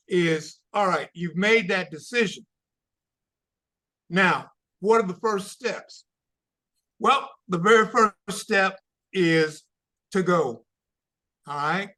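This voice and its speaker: A travel consultant speaks in a high, bright voice that is wearing thin from losing it. He talks slowly and deliberately and keeps checking in with his listeners. His tone is patient and explanatory. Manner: slow, deliberate, with frequent checks like "okay?" and "all right?". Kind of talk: casual advice talk.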